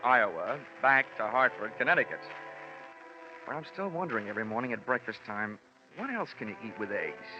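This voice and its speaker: A man's voice: medium pitch, natural voice. An actor playing a wry, wisecracking insurance investigator who narrates his case in a 1940s radio detective drama. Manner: wisecracking, sardonic. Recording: muffled vintage radio recording.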